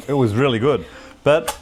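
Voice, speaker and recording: A middle-aged man with an Australian accent, chatting casually in a vlog. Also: mid-range voice. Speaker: host's father, vlog co-star. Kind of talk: casual chat. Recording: loud crowd noise behind. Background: loud crowd chatter.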